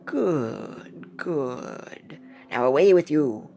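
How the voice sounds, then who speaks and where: A woman voicing an imperious, evil dark enchantress from a game, with slow, theatrical delivery. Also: sits low, expressive, faint music behind, full character voice. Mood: imperious, dismissive.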